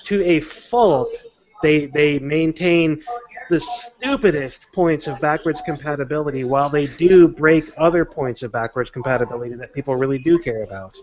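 A man's voice: a little high, normal speed, darkish tone. Man chatting on a dull-sounding call. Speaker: podcast host. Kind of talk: casual panel chat. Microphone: microphone on a video call. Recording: slightly dull online call audio.

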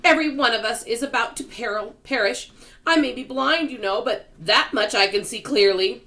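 A woman's voice: fairly low pitch, a little fast, pushed and bright. A woman voicing a gloomy old male earthworm in a children's storybook, complaining emphatically and predicting doom. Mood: gloomy outrage.

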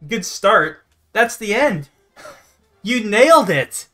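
A man's voice: high-pitched, very animated, slow pace, bright, forceful tone. A young man hosting a gaming video, commenting casually in an encouraging, enthusiastic manner. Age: young adult. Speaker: host of a gaming show. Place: indoor room, sharing a webcam frame with a friend. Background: music.

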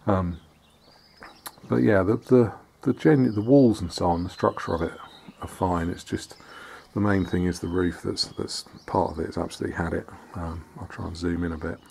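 Low gravelly man touring an old barn. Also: moderate pace, a little hiss or hum. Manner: relaxed, hesitant with fillers and restarts. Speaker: vlogger restoring a farmhouse. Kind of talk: casual walk-around tour. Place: outdoors in a farmyard and inside a large barn.

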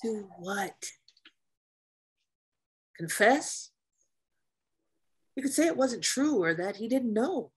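An actress in a dramatic script reading, playing a female naval officer who is a steady, protective caretaker, with a breathy, husky voice. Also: a little high, takes it slowly, pauses often, full character voice. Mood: challenging. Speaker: actress at a table read.

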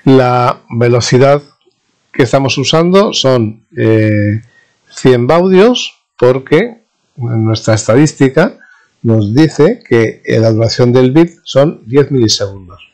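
Male instructor, medium-pitched voice, informal hands-on tech demo, explanatory, stop-and-start delivery with self-corrections. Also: lively intonation, slow, darkish tone. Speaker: radio communications instructor. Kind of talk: informal hands-on technical demonstration narration.

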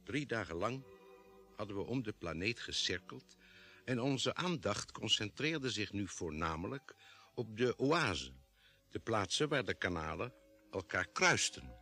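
Low, animated space-expedition narrator. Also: male voice, measured pace, slightly rough, exaggerated voice. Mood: measured, serious. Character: spaceship doctor recounting a Mars expedition. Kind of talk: scripted narration in old Dutch radio drama.

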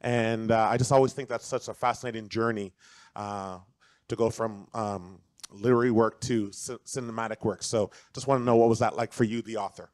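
A man's voice: lowish voice, fairly even intonation, fairly quick, a little mellow, dry recording. A man from the audience speaking into a floor mic, earnestly thanking an author and asking a question. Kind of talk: audience question at a public talk. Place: large auditorium. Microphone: handheld audience mic.

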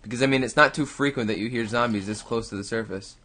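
A man's voice: medium pitch, fast talker, bright, forceful tone. Chatty young man, gaming commentary. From Canada. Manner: chatty, rambling, talks to himself and viewers. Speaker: gaming YouTuber. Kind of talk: casual let's-play commentary.

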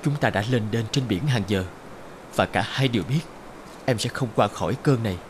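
A man playing a tender, heartbroken husband and father in a dramatic cartoon voice-over, measured and sorrowful. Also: on the low side, noisy background, lightly acted.

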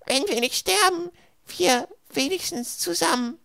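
A man acts out a very small girl in a storybook reading, squeaky high and solemn. The voice gasps and chokes on short words, in a close, dry recording. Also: measured pace, vocal fry, bright, forceful tone, no room sound, heavily acted. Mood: choked, grave. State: gasping, choked. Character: Sunny, choking solemn toddler girl with tiny voice.

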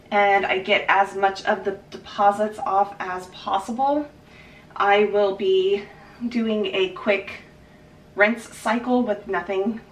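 A woman's voice: mid-range voice, far from the mic, slight background noise. A woman in her thirties talking casually while she cleans her kitchen, thinking aloud with fillers and restarts. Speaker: cleaning vlogger. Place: home kitchen, indoors. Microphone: camera mic from a few feet away. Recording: roomy kitchen sound from off-mic.

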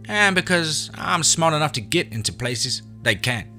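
A man's voice: a little high, lively intonation, moderate pace, sharp tone, soft background music, voice pushed up. A man voicing a scrappy, hungry young boy with a drawl and defiant pride. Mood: proud. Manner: folksy backwoods drawl, pleading to defiant.

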